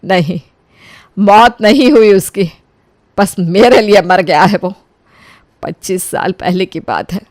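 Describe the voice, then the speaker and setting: A woman's voice: medium pitch, slow pace, long pauses, darkish tone, no room sound, natural voice. A woman playing a wronged elderly mother-in-law in a dramatic audio story, speaking solemnly and with emotional emphasis. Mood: grave.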